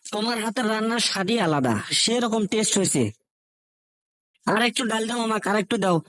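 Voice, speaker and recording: Comic cartoon husband, male actor, food-loving village joker, northern Bangladeshi Bengali accent, forceful bright tone, close dry sound. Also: sits high, brisk, dry recording. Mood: eager, contented. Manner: folksy, exclaiming, teasing.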